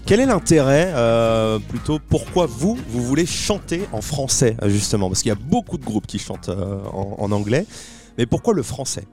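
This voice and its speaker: Male radio host, halting questions, soft music in the background. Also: medium pitch, very animated. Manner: hesitant, many euh and restarts. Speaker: radio interviewer. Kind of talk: casual interview. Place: festival.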